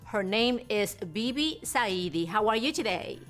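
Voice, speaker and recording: A woman's voice: mid-range voice, animated, measured pace, a bit bright, soft background music. A woman from Mexico hosting a studio TV interview, gushing with effusive praise for her guest. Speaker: TV host.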